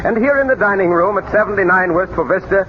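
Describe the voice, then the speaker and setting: A man's voice: high-pitched, fast, narrow, tinny audio, slight background noise. A male radio announcer reading scripted ads and narration in a polished, upbeat 1930s broadcast style.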